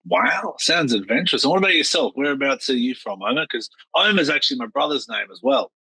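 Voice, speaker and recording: A middle-aged man hosting a casual interview, chatty and quick to react. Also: mid-range voice, lively intonation, pushed and bright, slightly rough, dry recording, rough recording. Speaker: interviewer, yacht captain host.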